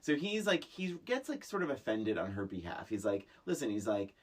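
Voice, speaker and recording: A woman co-hosting a podcast, casually discussing a film in a halting, conversational way and acting out lines she quotes. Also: very deep voice, big pitch swings. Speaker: podcast co-host.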